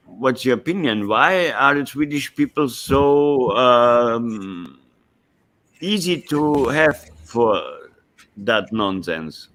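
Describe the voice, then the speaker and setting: A male interviewer, asking questions in halting English and searching for words. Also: medium pitch, fairly bright tone, poor audio.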